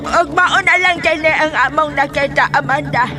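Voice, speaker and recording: An actress with a Filipino Visayan accent playing a villager with grim graveside news in a horror audio drama. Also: female voice, sits high, normal speed, close to an everyday voice. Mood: grave. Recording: music over a distant voice.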